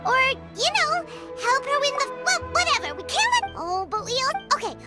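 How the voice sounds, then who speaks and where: A tiny game fairy with an extremely high, squeaky, childlike voice. She chatters in flustered exclamations and worries aloud. Her pitch leaps around constantly, over loud game music. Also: big pitch swings, fairly quick. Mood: worried, rambling. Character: tiny excitable childlike fairy companion girl, anxious and chatty. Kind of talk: English video game character acting.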